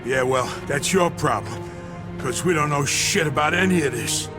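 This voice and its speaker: A man playing a brash, foul-mouthed mobster in a video game cutscene, blunt and gruff. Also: on the high side, moderate pace, music in the background, lightly acted. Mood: defiant, scornful.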